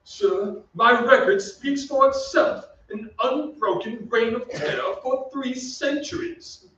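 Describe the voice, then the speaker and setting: A man's voice: very high-pitched, takes it slowly, bright, forceful tone, a little hiss or hum, full character voice. A man playing a pompous, melancholy centuries-old aristocratic ghost with archaic, theatrical diction in an old-time radio drama. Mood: haughty, indignant. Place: on stage in a theatre. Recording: distant, echoey stage recording, muffled.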